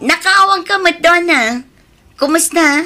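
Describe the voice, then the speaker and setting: A woman's voice: very high-pitched, measured pace, sharp tone, faint music behind, heavily acted. A woman playing a responsible, caring elder sister in an Ilocano radio drama, speaking earnestly with an Ilocano Filipino accent. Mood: caring, upbeat. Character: responsible, caring elder sister working abroad for her family.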